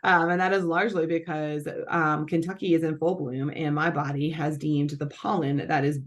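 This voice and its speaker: A woman's voice: sits low, steady tone, moderate pace. A woman hosting a nutrition channel, explaining things in a casual, chatty way with lots of fillers and self-corrections. Her voice is scratchy and congested from allergies. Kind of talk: casual explanatory talk to camera.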